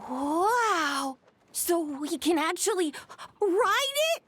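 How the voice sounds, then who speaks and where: A woman's voice: very high-pitched, expressive, very slow, a bit bright, slight background noise, exaggerated voice. A woman voicing a small, innocent young dragon, naive and full of wonder, in a video game. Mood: amazed, eager.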